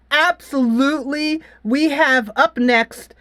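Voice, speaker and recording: A woman's voice: high-pitched, fairly bright tone. A woman hosting a gaming channel, chatting casually in a rambling way with some dramatic rhetorical flourishes. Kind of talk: casual game review and upcoming series chat. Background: music.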